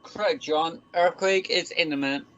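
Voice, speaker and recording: An actor playing a cynical, pedantic, humourless science officer who speaks formally and haltingly in a sci-fi radio play. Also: male voice, fairly high pitch, fairly bright tone, natural voice. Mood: urgent, matter-of-fact. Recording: dull muffled lo-fi recording.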